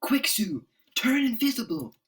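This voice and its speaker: A man voicing an excitable, anxious young male superhero in a comic-book drama, with urgent, melodramatic delivery. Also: very high voice, a little slow, far from the mic, noisy background, pitched above the performer's own voice, heavily acted.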